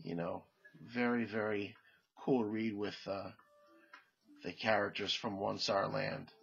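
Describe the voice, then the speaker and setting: Casting: stuffy-nosed guy, husky voice, rambling casual collector vlog, dull lo-fi sound. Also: on the low side, flat delivery, takes it slowly, fairly bright tone. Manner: rambling, many fillers and restarts. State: congested, under the weather. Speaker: comic collecting vlogger. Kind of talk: casual show-and-tell haul video.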